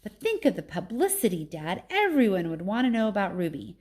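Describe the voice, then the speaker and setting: A woman reading a storybook as an excited, earnest young girl who pleads insistently with her dad. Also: medium pitch, fairly quick, natural voice. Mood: earnest persuasion. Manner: emphatic, earnest, persuasive.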